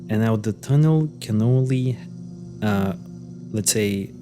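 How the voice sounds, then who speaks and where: A man's voice: lowish voice, slow, music in the background. A man co-hosting a podcast, recounting a case in loose, hesitant casual chat full of fillers and restarts. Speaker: podcast co-host. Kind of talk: casual conversational storytelling.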